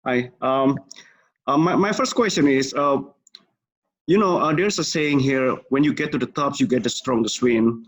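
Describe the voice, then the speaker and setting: A man with an Indonesian accent asks questions as a participant in an online session. His pitch is average and his phrasing halting.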